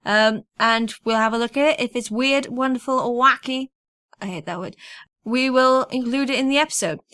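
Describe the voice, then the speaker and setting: A woman's voice: fairly high pitch, moderate pace, pushed and bright, dry recording, studio quality. A woman hosting a podcast in casual chat, with an informal, wry manner. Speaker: podcast host.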